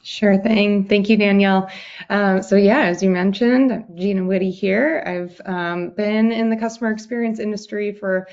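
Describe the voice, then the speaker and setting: A woman with a middle-pitched voice talks in a friendly, relaxed way on a webinar, pausing now and then with an "um". The sound is dull and lo-fi, like a video call. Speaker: webinar speaker, product manager. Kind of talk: casual self-introduction.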